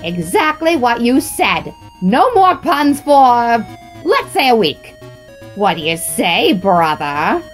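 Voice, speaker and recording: A woman's voice: fairly high pitch, very animated, takes it slowly, soft background music. A woman playing a smug male cartoon skeleton, theatrical and mock-stern, in an amateur audio drama.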